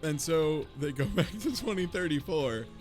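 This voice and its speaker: A man's voice: fairly high pitch, moderate pace. A man co-hosting a podcast, casually recapping a game with swearing and acted-out quotes. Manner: sweary, animated mock-quoting. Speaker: podcast co-host. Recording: music faintly behind the talk.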